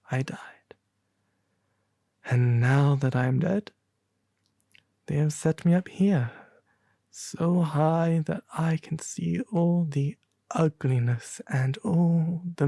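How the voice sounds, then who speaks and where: A man as a breathy, mournful prince statue. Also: fairly high pitch, dark tone, no room sound, studio quality, exaggerated voice. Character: sorrowful, gentle gilded prince statue, low musical voice. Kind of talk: soft storybook character acting.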